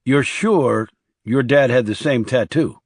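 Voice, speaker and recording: A man's voice: mid-range voice, unhurried, no room sound, clean studio sound. A man voicing a guarded, blunt male assassin in terse audiobook dialogue. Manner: terse, questioning.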